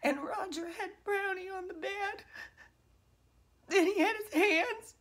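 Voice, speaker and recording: Woman acting a distraught mother, high-pitched voice. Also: slow, heavily acted. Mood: anguished, angry. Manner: hushed and breathy, breaking into anguished outbursts. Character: distraught guilt-ridden single mother recounting an attack on her children. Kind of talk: dramatic audition monologue.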